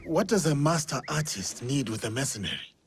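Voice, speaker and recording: A man playing a solemn, gentle samurai warrior in a feudal Japan game, measured and sombre. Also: fairly high pitch, very animated, music in the background.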